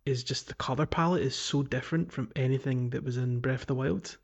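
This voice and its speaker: Man chatting casually, halting delivery. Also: mid-range voice, vocal fry, muffled recording. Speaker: podcast host. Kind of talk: casual video game discussion.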